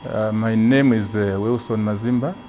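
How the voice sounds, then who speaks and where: A middle-aged man from Zambia, a government trade official, answering interview questions in a measured way with frequent hesitations. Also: lowish voice, fairly even intonation, mellow, faint music behind. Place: conference room indoors.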